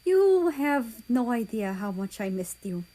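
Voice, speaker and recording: A woman's voice: a little high, very animated, unhurried, warm tone. A woman voicing a tall, tearful, emotional man in an audiobook, with breathless, exclaiming questions. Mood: tender disbelief. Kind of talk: audiobook character voice.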